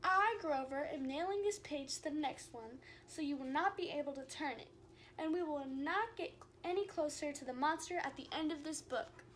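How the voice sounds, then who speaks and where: A woman's voice: high voice, moderate pace, a bit bright, slight background noise. A woman voicing an anxious, pleading furry male monster in a children's book reading. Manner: frantic pleading storybook reading. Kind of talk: children's book read-aloud.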